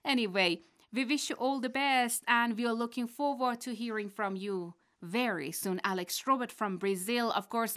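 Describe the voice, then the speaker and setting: A woman presenting a radio segment where she answers listener mail, speaking in a measured, polite and formal way. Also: medium pitch, a bit bright. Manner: measured, polite and formal, reads letters aloud.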